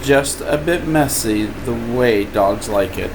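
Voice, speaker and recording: An older man, a grandfather, reading a picture book aloud in an expressive, informal way with playful asides. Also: medium pitch, takes it slowly. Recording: steady room noise, air conditioning hum.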